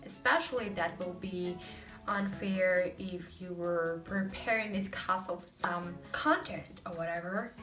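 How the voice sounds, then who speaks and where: A woman's voice: fairly low pitch, a little slow, fairly bright tone, roomy sound, recorded from a distance, soft background music. A young woman with a Russian accent, hosting a casual language lesson, explaining chattily with many fillers. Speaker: host.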